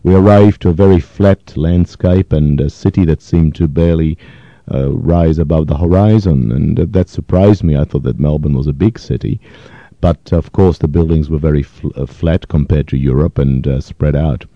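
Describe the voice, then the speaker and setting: Very deep-voiced man in his fifties, from Poland. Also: no room sound, lo-fi sound. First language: Yiddish. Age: 50s. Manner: thoughtful, hesitant, with frequent pauses and fillers. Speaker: interviewee. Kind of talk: reflective oral history interview.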